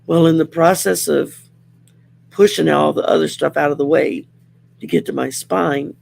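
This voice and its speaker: A middle-aged woman with a growly, gravelly voice from throat surgery, chatting casually on a livestream while pulling tarot cards, rambling and restarting often. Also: low voice, fairly even intonation, moderate pace, darkish tone. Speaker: host and tarot card reader. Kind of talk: casual livestream chat with card reading.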